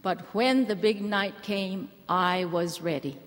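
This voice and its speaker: Slow, earnest woman in a reverberant hall. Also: medium pitch. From Hawaii. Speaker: church speaker. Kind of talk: measured address to a women's conference.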